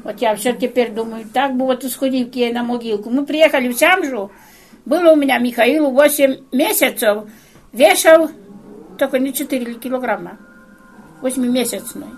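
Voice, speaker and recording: An older woman in a field recording speaks in a strong Vologda dialect. She rambles through a personal story. Her voice sits high. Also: moderate pace, faint music behind, poor audio.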